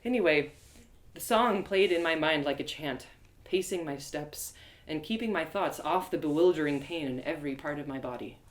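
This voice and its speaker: A woman reading a story live in an expressive, theatrical way, as host and narrator. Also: low-pitched, moderate pace. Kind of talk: animated first-person storybook narration. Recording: echoey room.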